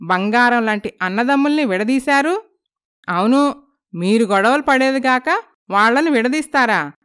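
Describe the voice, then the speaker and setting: A woman voicing indignant, blunt village neighbours who scold and accuse in an animated moral story. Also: on the high side, normal speed, clean tone. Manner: accusing, reproachful.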